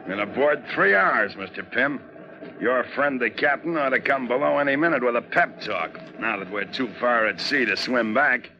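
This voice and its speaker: Hard-bitten sailor, male actor: gravelly, blunt, slangy, hot-tempered cynic, muffled vintage radio drama. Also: mid-range voice, expressive, moderate pace, lightly acted. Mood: wry, resigned. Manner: blunt, slangy, tough-guy. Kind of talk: 1940s radio drama acting. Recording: muffled 1940s radio recording.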